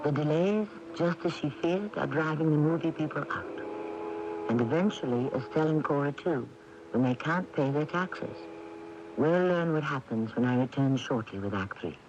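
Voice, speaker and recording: A man narrates as host of a radio mystery, urbane and wry with measured phrasing. The old broadcast tape is dull and slightly noisy. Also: on the high side. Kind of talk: scripted narration, urbane storytelling.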